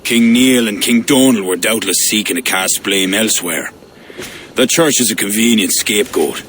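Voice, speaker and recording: An actor playing a proud, pious and stubborn medieval Irish high king in a video game. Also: normal speed, a bit bright, slight background noise, close to an everyday voice. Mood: dismissive. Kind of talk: cinematic video game acting.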